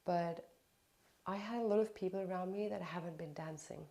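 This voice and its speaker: A woman hosting a podcast interview, affirming her guest and telling personal stories in a lively, casual way. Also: fairly low pitch, moderate pace. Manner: warm encouraging listener, lively anecdotal storyteller. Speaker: podcast host.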